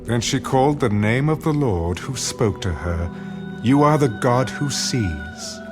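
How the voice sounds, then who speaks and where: Slow male scripture narrator over music. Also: medium pitch, lively intonation. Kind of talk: solemn dramatized scripture narration.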